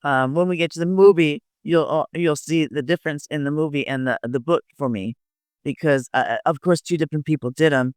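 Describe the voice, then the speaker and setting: A woman's voice: low voice, a little fast, darkish tone, no room sound, studio quality. A woman in her fifties giving personal recollections as an interviewee, hesitant and often repeating her words.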